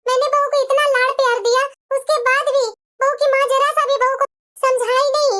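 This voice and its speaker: A very high-voiced older mother-in-law, acted by a woman in a family story. She is patient and caring but wounded. Her manner is gentle and plaintive. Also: little pitch movement, a little fast. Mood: hurt, lamenting. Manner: gentle, lamenting. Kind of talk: scripted family story dialogue.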